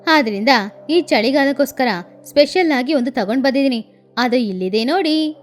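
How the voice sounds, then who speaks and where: A woman's voice: sits high, lively intonation, moderate pace. A woman voicing a bossy, boastful, eccentric female crow in a cartoon story. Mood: self-assured. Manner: bossy, self-assured. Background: background music.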